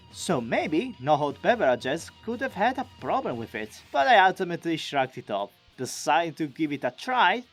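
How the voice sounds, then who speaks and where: A man giving an enthusiastic, expressive, chatty scripted recap as a video creator. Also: on the high side. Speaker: video creator and amateur voice actor.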